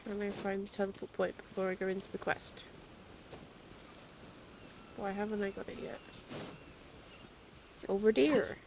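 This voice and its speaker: A woman's voice: medium pitch, little pitch movement, moderate pace, long pauses, a little mellow. A woman livestreaming a game, making casual commentary and muttering to herself as she plays. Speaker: game streamer. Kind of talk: casual live gaming commentary. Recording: livestream with clear game noise.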